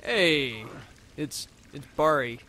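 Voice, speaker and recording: A man's voice: a little high, animated, slow, pushed and bright. A man chatting casually and jokingly as co-commentator on a video game playthrough. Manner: playful, joking. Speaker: co-commentator on a let's play. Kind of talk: casual chat. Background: video game sounds.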